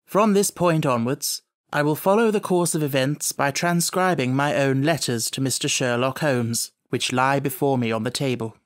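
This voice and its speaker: A man voicing an earnest, reflective Victorian gentleman doctor, narrating his letters in a formal, measured audiobook style. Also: medium pitch, no room sound, studio quality, natural voice.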